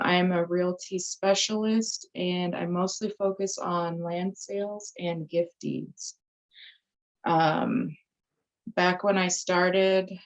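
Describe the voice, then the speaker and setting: Low, flat-voiced woman with a cold. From Umatilla Indian Reservation, Oregon. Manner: casual, many filler ums. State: recovering from a cold. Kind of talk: informal presentation in a town hall. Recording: muffled lo-fi audio.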